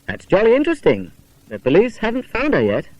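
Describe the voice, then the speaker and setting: A man voicing a keen, polite schoolboy who leads child detectives, with a British accent and brisk old-fashioned storybook speech. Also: high-pitched, huge pitch swings, a little mellow, no room sound, voice pushed up, poor audio.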